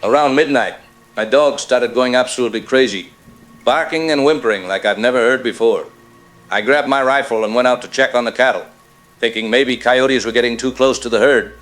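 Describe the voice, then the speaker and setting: A man playing a shaken rural cattle rancher, gravely telling his story as a caller in a radio drama. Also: medium pitch, brisk, a bit bright, soft background music, natural voice, poor audio.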